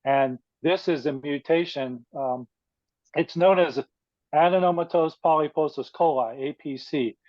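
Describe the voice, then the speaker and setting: A man in his fifties giving a measured, plainspoken personal talk to a support group, pausing often with fillers. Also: a little high, no room sound, muffled recording. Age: 50s. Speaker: guest speaker.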